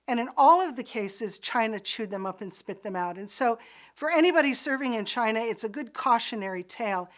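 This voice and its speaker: A woman's voice: on the high side, expressive, brisk. A middle-aged woman raised in Pakistan, giving a recorded course lecture in an earnest, explanatory way with occasional restarts.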